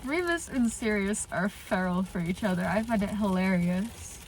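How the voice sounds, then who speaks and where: Female vlogger, stuffy nasal cold voice, excitable fan chatter, mid pitch, recorded in a car. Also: moderate pace, slight background noise, poor audio. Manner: gushing and excitable, many filler "like"s. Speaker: channel host reacting to fan fiction. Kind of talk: casual reaction vlog. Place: inside a parked car.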